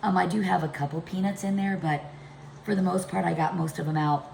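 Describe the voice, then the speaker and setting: A middle-aged woman talks casually through a home recipe. Her voice is deep and congested from a chest cold, with a gravelly creak. The kitchen around her is echoey. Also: steady tone, fairly quick, a little mellow. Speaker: home cooking video host. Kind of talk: casual cooking demonstration talk. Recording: echoey room with background noise.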